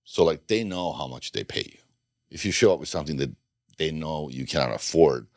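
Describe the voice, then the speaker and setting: Middle-aged man from Bosnia, very low voice, loose rambling interview talk, dry studio sound. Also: animated, fairly quick, a little mellow, no room sound. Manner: rambling, restarts sentences, dry self-deprecating jokes. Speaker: podcast guest, former undercover FBI agent. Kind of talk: casual interview conversation.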